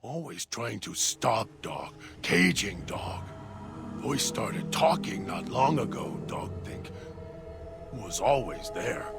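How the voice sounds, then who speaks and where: A man voicing a deranged, hungry male dog-creature who talks of himself in halting, broken third-person speech. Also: medium pitch, exaggerated voice. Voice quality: gravelly. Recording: music bed underneath.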